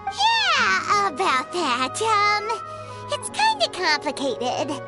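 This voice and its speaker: A squeaky little floating companion girl for a game, bouncing way up high and swooping all over. Game music plays clearly behind her. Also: wildly swooping pitch, drawn-out words, exaggerated voice. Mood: hesitant.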